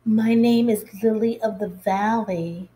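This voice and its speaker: A woman's voice: medium pitch, fairly even intonation, unhurried, mellow, natural voice, low-quality recording. A woman voicing teasing, snooty little schoolgirls from a storybook in a sing-song manner. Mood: longing.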